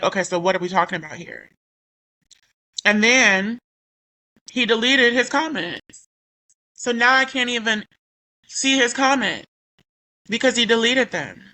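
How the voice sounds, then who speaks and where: A woman's voice: medium pitch, bright, forceful tone, low-quality recording. A woman recovering from losing her voice, talking indignantly in a commentary vlog and reading her own comments aloud, often correcting herself mid-sentence. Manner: indignant, rambling with self-corrections. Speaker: YouTuber.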